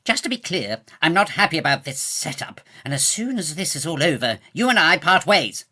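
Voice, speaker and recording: Haughty British lord, wildly swooping and sharp. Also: male voice, a little high, very animated, a little fast, full character voice. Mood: exasperated. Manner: pompous, florid archaic insults. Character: haughty, irritable lord who scorns peasants. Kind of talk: comic period audio drama acting.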